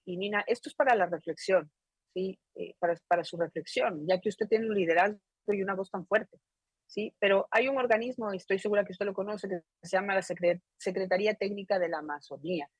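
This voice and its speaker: A woman's voice: brisk, breathy edge, no echo, poor audio. A woman interviewer, questioning a guest in a probing, emphatic manner. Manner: probing and emphatic, rising in pitch when pressing a point.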